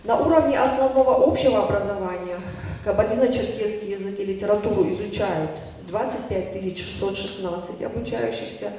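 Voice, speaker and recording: A speaker delivers a formal report packed with figures, measured and official, stumbling now and then and correcting themself. The voice is dark and mellow at a mid pitch. It sounds far from the mic in a big, echoey meeting hall with a long reverb and a faint hiss behind. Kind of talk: formal report read aloud, dense with statistics. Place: large meeting hall at an academy.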